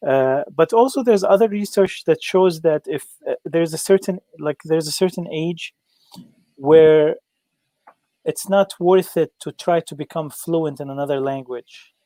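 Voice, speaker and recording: A man hosting an interview, asking questions haltingly with many fillers, Arabic his first language. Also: on the high side, dark tone, no room sound. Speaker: interview host. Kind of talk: casual interview.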